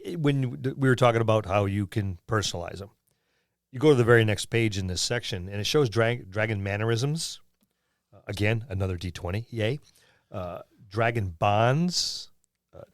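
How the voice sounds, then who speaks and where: A man's voice: lowish voice, lively intonation, moderate pace, no echo, studio quality. A man co-hosting a podcast, casually discussing tabletop gaming and explaining in a vivid, descriptive way. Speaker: podcast co-host.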